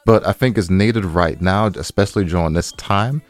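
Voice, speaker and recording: Man, deep voice, casual slangy co-host, repeats himself for emphasis, dry room sound. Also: moderate pace, dry recording. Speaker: podcast co-host. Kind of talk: casual podcast chat.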